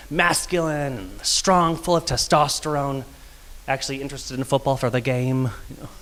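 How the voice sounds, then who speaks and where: Male storyteller from Texas, soft feminine-leaning voice, confessional and wryly humorous, mid-range pitch, long hall reverb. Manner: confessional and wry, with light laughs. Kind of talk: live personal storytelling monologue.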